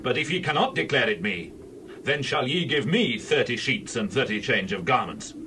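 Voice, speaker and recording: A man playing a proud, vengeful biblical strongman in a dramatized scripture reading, speaking in a deliberate, declamatory way. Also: medium pitch, sing-song, bright, forceful tone, a little hiss or hum. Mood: challenging.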